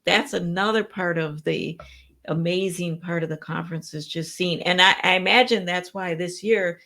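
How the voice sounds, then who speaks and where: A woman with a somewhat low voice talks enthusiastically and reflectively in a relaxed conversation. Fillers appear naturally as she thinks aloud. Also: normal speed, creaky. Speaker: co-host. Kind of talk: casual chat.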